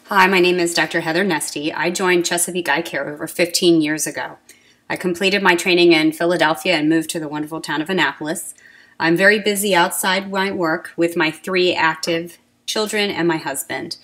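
A woman in her forties, an eye surgeon, reading her introduction in a calm, plain way. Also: on the low side, fairly quick. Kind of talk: read-aloud self-introduction.

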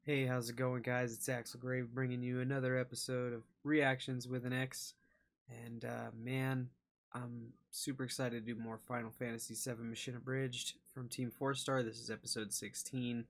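Tired, low-key young man, creaky voice. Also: flat delivery, moderate pace. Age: young adult. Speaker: reaction video host. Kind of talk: casual chat to viewers. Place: small cluttered room indoors.

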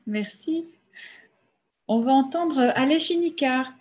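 A woman with a fairly high voice hosts an online reading over a video call. She introduces the next speaker. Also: animated, slow, darkish tone. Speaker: host.

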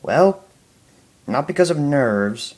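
A young man answering viewer questions in a casual home video, talking haltingly with a stutter, many fillers and restarts. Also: a little high, big pitch swings, a little mellow. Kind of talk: casual Q&A talk to camera.

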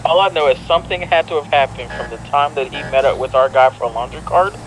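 A man's voice: on the high side, fast, faint music behind, rough recording. A man talking casually as a player in an online roleplay game. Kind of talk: casual in-game radio chat. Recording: faint street and car noise.

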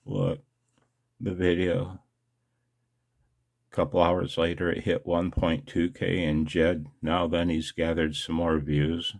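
An older man with a gravelly, husky voice talking casually and haltingly in a personal, emotional, confessional video blog. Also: deep voice, completely flat delivery, a little mellow. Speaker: amateur radio video blogger. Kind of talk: personal screen-share vlog, casual monologue.

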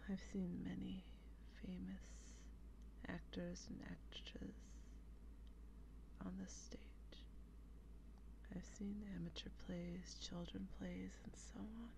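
A woman playing a teasing, wistful female vampire in a breathy, deliberate ASMR role play. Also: on the low side, level tone, noisy background. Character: female vampire, teasing, wistful, intimately affectionate theater haunter.

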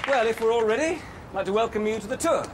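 A high-voiced, friendly man giving a walking tour, who stumbles and hesitates under awkward questions. A crowd murmurs around him outdoors, with steady noise in the background. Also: fast talker. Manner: friendly, falters and hesitates when pressed. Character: flustered male tour guide. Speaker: London tour guide.

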